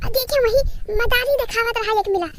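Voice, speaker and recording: A woman voicing an excitable, chatty village friend with an Awadhi accent, making eager singsong excuses in a comedy skit. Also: very high voice, brisk, a little hiss or hum. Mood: excited. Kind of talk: rustic comedy skit acting.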